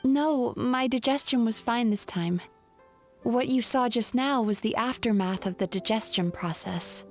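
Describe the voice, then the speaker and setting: A composed, polite spirit woman from a video game. Her voice sits fairly high and she speaks in a thoughtful, measured way, with faint music behind her. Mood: wistful, explaining. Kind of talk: video game character acting.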